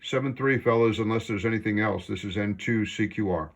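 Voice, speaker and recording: Low-voiced man chatting on ham radio. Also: a little fast, very noisy. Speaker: amateur radio operator, blogger. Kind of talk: casual ham radio contact chat.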